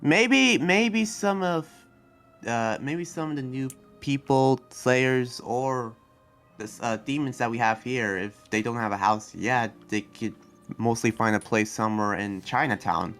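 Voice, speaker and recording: A man playing an earnest, hesitant demon slayer and researcher in an improvised Minecraft anime roleplay, speaking haltingly and trailing off. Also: mid-range voice, a bit bright, soft background music. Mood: earnest, explaining.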